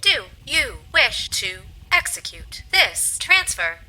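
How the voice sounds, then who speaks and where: An actress playing a polite, impassive computer voice assistant with measured, formal speech, in an audio spy comedy. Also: female voice, sits high, big pitch swings, sharp tone, soft background music, full character voice.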